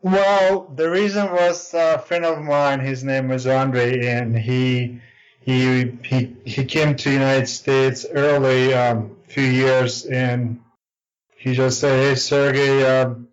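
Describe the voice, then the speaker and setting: A young man from Ukraine with a Ukrainian accent, a podcast guest chatting casually and pausing often with ums and uhs. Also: mid-range voice, level tone. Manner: hesitant, many ums and uhs. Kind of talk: casual interview chat. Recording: dull lo-fi audio.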